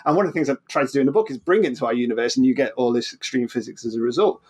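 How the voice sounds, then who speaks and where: A man's voice: medium pitch, very fast, a little mellow, breathy edge. A man with a British accent explains ideas informally as an interview guest, often repeating words and restarting his sentences. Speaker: podcast guest, physicist and author.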